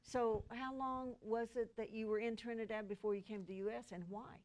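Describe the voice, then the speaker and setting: A woman's voice: a little high, fairly even intonation, fairly quick, darkish tone, poor audio. A woman hosting a TV show, interviewing guests in a conversational style. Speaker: TV show host.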